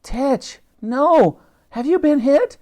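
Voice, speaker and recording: A man voicing a brave, bossy young girl who shouts orders in a storybook reading. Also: extremely high pitch, expressive, slow, voice pushed up, heavily acted. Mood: exasperated.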